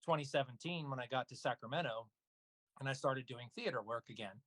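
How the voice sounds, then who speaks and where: Male interview guest, mid-range voice, dry sound, measured explanatory talk. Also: medium pitch, fairly bright tone, no echo. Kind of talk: casual conversation.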